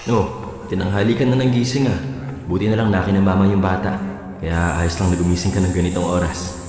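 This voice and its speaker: A thrifty, easily exasperated Filipino husband played by a male actor in a radio drama. He talks quickly at a middling pitch, in a long hall-like reverb with music behind. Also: steady tone, a little mellow, close to an everyday voice. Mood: casual.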